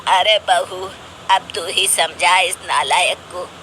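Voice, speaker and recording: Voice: medium pitch, steady tone, slow pace, pushed and bright, a little hiss or hum. A performer voicing a grumpy, scolding old father in a cartoon, with an exasperated manner. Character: grumpy, scolding old father, stubbornly against going abroad.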